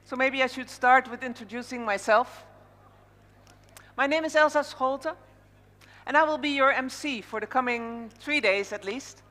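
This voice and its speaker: Bright-voiced Dutch woman hosting in a big hall. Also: sits high, moderate pace. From Netherlands. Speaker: master of ceremonies. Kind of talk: live conference opening address to an audience. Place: large indoor conference hall, on stage. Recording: echoey large hall reverb over PA.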